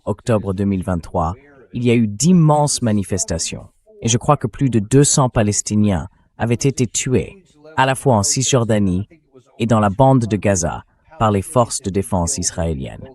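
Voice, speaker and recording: A man's voice: lowish voice, dark tone. A man's synthetic dubbing voice, acting as host and putting questions in a political interview. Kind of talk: political interview, dubbed translation read by synthetic voice.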